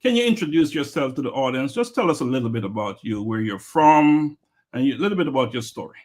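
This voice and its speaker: A man's voice: medium pitch, animated, quick pace, darkish tone. A man hosting an interview podcast in a plain, mid-range voice. He talks with upbeat emphasis, lifting his voice to welcome and prompt his guests. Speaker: podcast host.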